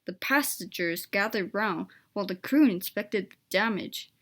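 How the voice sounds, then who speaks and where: A woman's voice: medium pitch, expressive, moderate pace, a bit bright. A woman reading a children's story aloud as a scripted narration.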